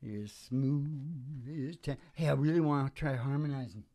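A man's voice: mid-range voice, unhurried, dark tone. An older man chatting casually on a small stage, joking in a loose, meandering way. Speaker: show host and musician. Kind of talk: rambling casual stage chat. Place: small dim curtained stage. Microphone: stage microphone up close.

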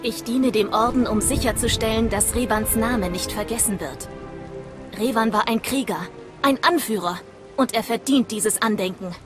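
A woman's voice: a little high, moderate pace, over music, natural voice. A woman playing a proud, honour-bound mercenary commander in a video game, firm and formal. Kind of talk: video game cutscene acting.

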